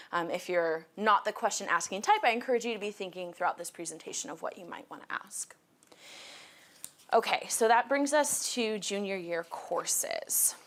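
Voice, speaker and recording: A young woman in her twenties talks through a school advising session as a college counselor, friendly and organized, with easy fillers along the way. She speaks into a mic she wears, in a school meeting room, her pitch sitting at an ordinary middle. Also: a bit bright. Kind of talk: school presentation to students and parents. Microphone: wearing a mic.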